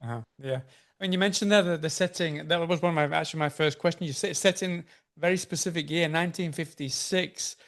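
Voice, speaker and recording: A man hosting an interview over a video call, eager and friendly, asking questions and giving quick thanks and agreeing replies. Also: a little high, fairly bright tone. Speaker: interview host.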